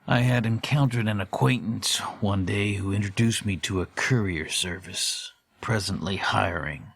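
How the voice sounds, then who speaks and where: A man playing a formal, wordy middle-aged storyteller, giving a measured, ornate monologue in an audio horror drama. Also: on the low side, creaky, a bit bright, breathy edge, dry recording, exaggerated voice. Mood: confiding, grave. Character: formal, verbose middle-aged man recalling haunting courier days.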